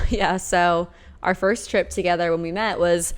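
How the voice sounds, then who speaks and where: A woman's voice: on the low side, fairly even intonation, measured pace. A young woman from Nebraska, a podcast guest, chatting casually and rambling with lots of fillers. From Omaha, Nebraska, United States. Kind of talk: casual chat.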